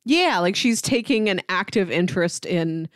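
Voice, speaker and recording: A woman's voice: lowish voice, animated, normal speed, dry recording, clean studio sound. A woman co-hosting a podcast, talking casually about films and comics. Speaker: podcast co-host. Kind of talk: casual film and comic discussion.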